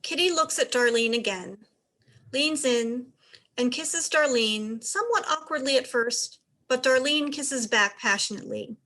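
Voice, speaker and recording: A woman's voice: high-pitched, animated, unhurried, pushed and bright. A woman narrator reading stage directions aloud as scripted narration.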